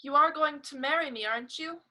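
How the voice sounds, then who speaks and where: A woman's voice: brisk, sharp tone. A woman voicing a desperate, jilted young maid with a tremulous, pleading manner, in 1930s-style radio drama acting. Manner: tremulous pleading, then shrill outbursts.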